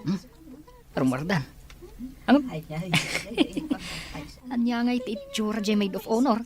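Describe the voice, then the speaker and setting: A woman's voice: mid-range voice, expressive, slow, a crowd in the background, pitched above the performer's own voice. A woman playing a gossipy, mocking female wedding guest who teases and exclaims in a radio drama. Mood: mocking amusement. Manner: exclaiming, teasing.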